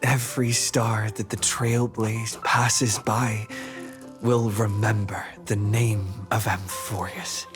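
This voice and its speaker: An actor playing an earnest, quietly awed young male hero in a video game, hushed and sincere. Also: fairly low pitch, flat delivery, takes it slowly. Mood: solemn, sincere. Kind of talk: scripted video game dialogue. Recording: music under the voice.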